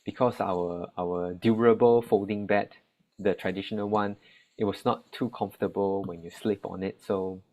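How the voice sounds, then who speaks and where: A young man with a Malaysian Chinese accent, giving a talk about relief work, halting often with fillers and restarts. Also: fairly low pitch, fairly even intonation, a little mellow, rough recording. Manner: hesitant, many fillers and restarts. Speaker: relief volunteer. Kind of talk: spoken talk.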